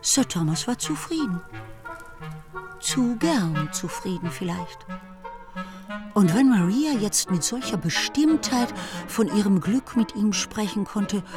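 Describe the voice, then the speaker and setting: Composed woman narrator over music. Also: on the low side. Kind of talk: scripted literary narration.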